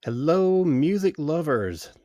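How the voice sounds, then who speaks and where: A man's voice: a little high, sing-song, slow pace, over loud music. A man hosting a podcast, introducing his co-hosts and asking a guest questions. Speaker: podcast host. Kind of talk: casual podcast interview.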